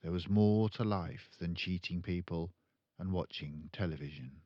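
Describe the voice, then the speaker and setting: An older man with a British accent reading a children's story aloud as an expressive narrator. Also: low-pitched, moderate pace, no room sound, highs cut off.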